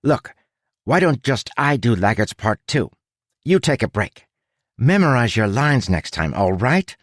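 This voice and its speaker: A man's voice: medium pitch, very animated, measured pace, no room sound, studio quality, voice pushed up. A man voicing a small, chatty male rat who is bossy, fussy and theatrical, in a storybook narration. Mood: exasperated. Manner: fussy, dramatic, exasperated.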